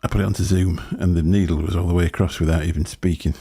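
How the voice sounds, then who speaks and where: A man's voice: steady tone, quick pace, mellow, husky. A man, hosting a casual live stream and rambling from topic to topic into a desk microphone. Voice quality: deep booming voice. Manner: rambling, chatty, chuckling to himself. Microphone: desk microphone through a mixer.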